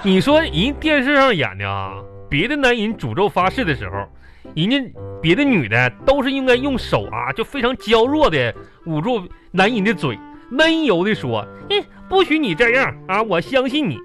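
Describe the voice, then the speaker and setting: A high-voiced, blunt Northeastern Chinese husband. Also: male voice, huge pitch swings, normal speed, a bit bright, soft background music, full character voice. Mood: complaining. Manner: stammering, exasperated, earthy. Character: bickering, blunt, clowning working-class husband from northeast China. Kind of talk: live comedy radio drama acting.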